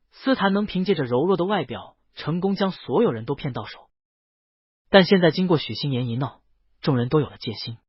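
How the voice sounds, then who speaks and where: A man's voice: on the high side, slightly rough, dry recording, lo-fi sound. A man reading a web novel aloud as a scripted, dramatic audiobook narration.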